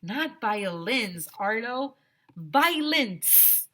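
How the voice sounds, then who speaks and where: A woman's voice: fairly high pitch, sing-song, extremely slow, sharp tone, voice pushed up, full character voice. A woman voicing a prim, disapproving young schoolgirl with a prissy, correcting manner in a children's storybook. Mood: exasperated. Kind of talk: children's storybook read-aloud.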